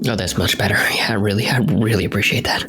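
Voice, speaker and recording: A man's voice: on the low side, fairly even intonation, quick pace, background noise, close to an everyday voice. Man doing a rambling, mild hungover drinker. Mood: pained. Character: hungover, slow-witted, mild-mannered male drinker in fantasy crew.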